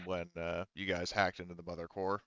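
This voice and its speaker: A man acting as game master, narrating a tabletop game in a halting, conversational, sweary way. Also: low voice, little pitch movement, fairly bright tone, clean tone, no echo, lo-fi sound.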